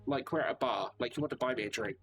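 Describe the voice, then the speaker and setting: A weary woman in a taxi who speaks in a dry, frank and soft way, her sadness close to the surface, voiced by a male reader. The pitch stays in an everyday middle range. Game music plays clearly underneath. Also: very fast, close to an everyday voice. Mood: nervous.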